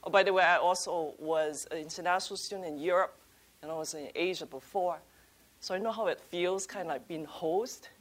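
A woman born in China, a campus ministry leader, introducing a workshop panel in a halting, upbeat way with many fillers. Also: lowish voice.

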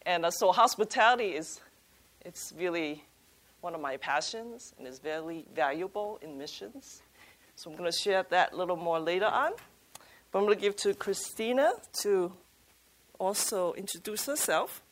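Halting upbeat woman leading a workshop. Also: fairly low pitch, expressive. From China. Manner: halting, many fillers, upbeat. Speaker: campus ministry leader. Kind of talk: workshop introduction.

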